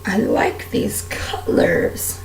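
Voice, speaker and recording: A woman with a raspy, strained voice, talking candidly and at length while doing her makeup for a vlog. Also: extremely slow, reverberant, slight background noise. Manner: candid, heartfelt, rambling with fillers. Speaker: beauty vlogger. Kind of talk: casual personal rant while doing makeup.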